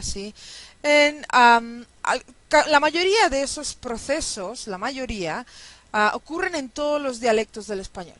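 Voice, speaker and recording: Female lecturer, average pitch, didactic delivery of a slide lesson with frequent check-in tags. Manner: didactic, often checking understanding with short tag questions. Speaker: university linguistics lecturer. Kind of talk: lecture narrated over slides.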